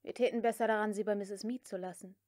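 A woman voicing a cool, mocking gentleman who takes command, as part of an audiobook reading. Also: mid-range voice, fairly even intonation, fast, no room sound, clean studio sound, lightly acted. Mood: brisk, commanding. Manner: sardonic, crisp, commanding. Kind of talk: dramatic audiobook character reading.

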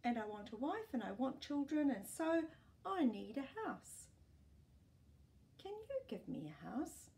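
A woman's voice: high-pitched, sing-song, a little slow, creaky, darkish tone, voice pushed up, full character voice. Woman reading as a demanding growing boy. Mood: insistent. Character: growing boy, self-centred and wanting.

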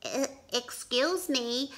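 A woman voicing a gentle, bookish young boy bunny who politely pleads and explains in a storybook read-aloud. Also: high-pitched, lively intonation, takes it slowly, voice pushed up, heavily acted. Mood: earnest.

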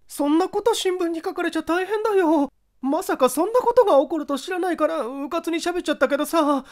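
A woman's voice: extremely high pitch, a little fast, voice pushed up. A woman voicing a chatty, gossipy, easily flustered middle-aged masseuse in audiobook dialogue. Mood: flustered, defensive. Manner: chatty, colloquial, emphatic.

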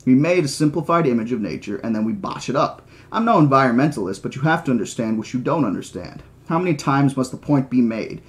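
A man reads the part of a mathematician in a novel, certain of himself and condescending. He lectures at a middle pitch, didactic and flatly insistent, as in an audiobook. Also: fast, hardly any pauses, close to an everyday voice. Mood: exasperated lecturing. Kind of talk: audiobook character reading.

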